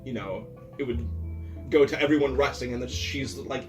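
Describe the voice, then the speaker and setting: A man's voice: mid-range voice, moderate pace, recorded from a distance. A man playing in a tabletop roleplaying game, telling his character's story in a jokey, informal way. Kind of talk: casual chat, improvised character storytelling. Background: ambient music.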